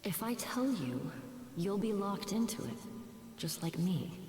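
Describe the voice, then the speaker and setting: An actress playing a gentle, remorseful muse in a video game drama, speaking solemnly with breathy, half-whispered phrase endings. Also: female voice, mid-range voice, big pitch swings, measured pace. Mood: grave, resigned. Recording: echoey reverberant game audio with hiss.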